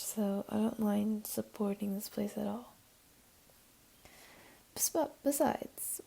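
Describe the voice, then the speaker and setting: Woman acting as flirty jaguar beastling, higher feminine voice, breathy half-whisper, teasing, comforting, intimate ASMR roleplay. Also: mellow, exaggerated voice.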